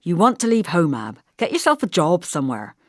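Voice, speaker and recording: A woman's voice: lowish voice, big pitch swings, fairly quick, no echo, clean studio sound. Woman narrator as bossy young man, blunt, colloquial, audiobook dialogue, swooping intonation, insistent. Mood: lecturing. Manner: brusque, insistent, colloquial regional turns of phrase. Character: Perrin, blunt, bossy young working-class brother.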